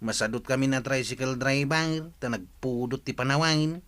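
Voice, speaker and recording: A man's voice: medium pitch, steady tone, normal speed, a bit bright, close to an everyday voice. A man acting in an Ilocano radio drama, playing a lazy, sulky tricycle driver. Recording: clear vehicle noise and clicks.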